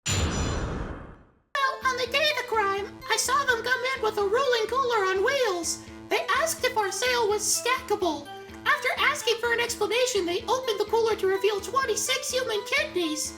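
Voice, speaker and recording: A woman's voice: very high voice, normal speed, over music. A woman voicing a matter-of-fact nurse giving testimony in a video game parody.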